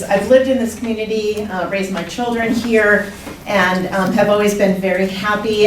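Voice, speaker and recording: An older woman from the Midwest, speaking at a public comment session, earnest and urging in a measured way. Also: medium pitch. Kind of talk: public comment at a council meeting. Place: council meeting room. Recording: echoey room, distant mic, faint noise.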